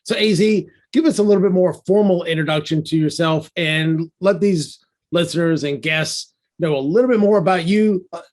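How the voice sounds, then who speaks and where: A man hosts a podcast, talking with his guest in a friendly, easygoing way and dropping in quick asides. His voice is pitched a little above most men's. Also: darkish tone. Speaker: podcast host. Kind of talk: casual interview hosting.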